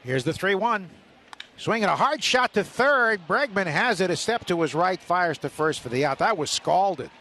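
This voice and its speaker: A man's voice: high-pitched, normal speed, slight background noise. A man calling a baseball game live on the radio as the play-by-play announcer. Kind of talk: live radio sports commentary. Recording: steady stadium ambience behind live broadcast. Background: ballpark ambience.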